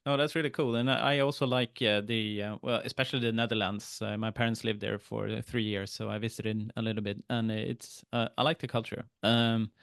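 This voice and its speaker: A man's voice: lowish voice, level tone, brisk, gravelly, hardly any pauses, fairly bright tone, clear, resonant tone, no echo, clean studio sound. A man hosting an interview in a casual chat style, informal and agreeable, feeling his way through his questions.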